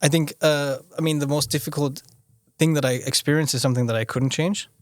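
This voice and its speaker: A man's voice: on the high side, fairly even intonation, a little fast, no room sound, clean studio sound. A young man from Austria, a podcast guest talking casually, often restarting his sentences and leaning on "you know". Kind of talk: casual conversational interview.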